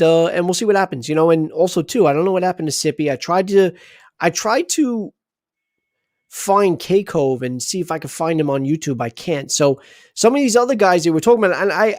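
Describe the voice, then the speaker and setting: A chatty man hosts a sports livestream and rambles through his sign-off. His voice sits a little high for a man. The sound is dry and close. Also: no echo. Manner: rambling, chatty, many restarts. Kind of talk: casual sports livestream talk.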